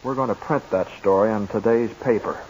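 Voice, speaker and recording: A man's voice: lowish voice, brisk, darkish tone, breathy edge. An actor playing an earnest, persuasive young man rallying a small town, in a 1940s radio play. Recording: dull lo-fi 1940s radio broadcast.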